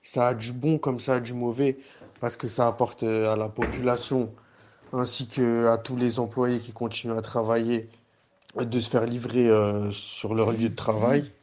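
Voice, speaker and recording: A man who works as a pizza delivery driver gives a measured personal testimony, full of fillers and restarts. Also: medium pitch, flat delivery, darkish tone.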